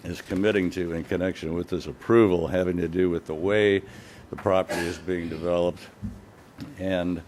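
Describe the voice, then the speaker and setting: Very deep-voiced man listing terms. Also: steady tone, normal speed, darkish tone, slight background noise. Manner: listing points, hesitant at first. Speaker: presenter for the applicant. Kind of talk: formal presentation to a board.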